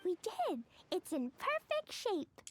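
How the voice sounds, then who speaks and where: Small excitable girl, very high, lively, innocent, game audio with music. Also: slow pace, exaggerated voice.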